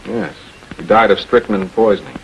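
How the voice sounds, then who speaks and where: An actor playing a cool, authoritative public prosecutor in a 1940s American TV crime drama, measured, probing and businesslike. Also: male voice, on the low side, natural voice. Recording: dull, noisy 1940s film soundtrack.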